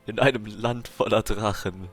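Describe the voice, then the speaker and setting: A man's voice: fairly low pitch, steady tone, moderate pace, faint music behind. A man with a German accent giving casual, sarcastic gameplay commentary in dry asides. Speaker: game streamer.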